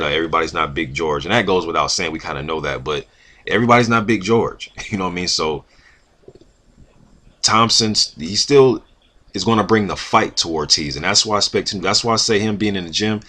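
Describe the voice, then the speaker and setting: A man's voice: deep voice, a little fast, pushed and bright. A man giving casual, emphatic sports commentary as a vlog host, in informal slangy speech with some swearing. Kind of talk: casual opinion commentary, reading quotes aloud.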